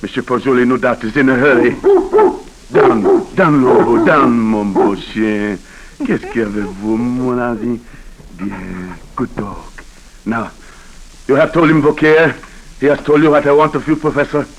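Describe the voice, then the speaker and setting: A man's voice: medium pitch, unhurried, close to an everyday voice, low-quality recording. Man acting an imperious French-accented Haitian governor. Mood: impatient, commanding. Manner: commanding, impatient, emphatic. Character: imperious, superstitious Haitian governor, former rebel leader, fiery. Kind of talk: 1940s radio drama acting. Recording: vintage 1940s radio broadcast.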